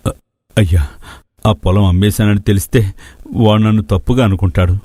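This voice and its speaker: A man's voice: lowish voice, steady tone, moderate pace, dark tone, no echo, clean studio sound, lightly acted. A man playing an anxious, evasive older village farmer father, hesitant and stammering. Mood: anxious confession.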